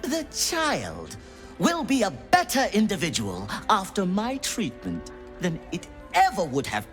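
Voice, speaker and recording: Brief: heartless game scientist; clipped, lecturing, swooping delivery. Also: male voice, high voice, exaggerated voice. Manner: precise, detached, lecturing. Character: cold Underdark scholar, callous and clinical. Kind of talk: video game voice acting.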